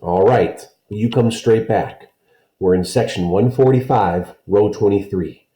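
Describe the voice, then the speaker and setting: A protective storybook mother, read by a man, gentle but firm and reassuring. The sound is mellow and carries a clear room echo. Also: on the low side, measured pace, lightly acted. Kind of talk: children's storybook read-aloud.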